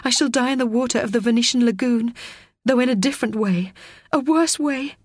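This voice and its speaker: A woman voicing a frightened young girl in deadly danger, high and breathless. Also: brisk, no echo, studio quality, natural voice. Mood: despairing.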